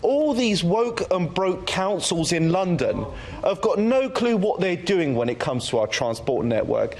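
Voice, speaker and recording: A man's voice: high voice, sing-song, a little slow, a little mellow. A male politician speaking combatively and declaiming in an assembly debate.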